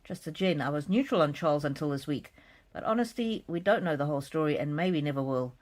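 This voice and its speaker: Low-voiced woman, gentle and musing. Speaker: psychic card reader hosting a livestream. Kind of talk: casual live chat, reading out comments.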